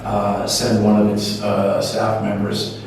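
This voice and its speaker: A man, a program organizer answering interview questions in a relaxed way, with many hesitations. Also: lowish voice, slow, faint music behind. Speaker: guest, study-abroad program organizer. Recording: echoey room, distant from mic, faint noise.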